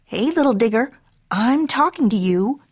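A woman's voice: on the high side, lively intonation, moderate pace, slightly rough, voice pushed up. A woman voicing a fussy, scolding mama cat in a storybook, with dramatic rhyming delivery.

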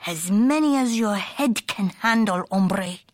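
A woman voicing an excitable, playful young male kitten who puts on a Spanish accent for a storybook. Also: medium pitch, slow pace. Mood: boastful, playful. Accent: put-on Spanish. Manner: playful rhyming boasts sprinkled with Spanish.

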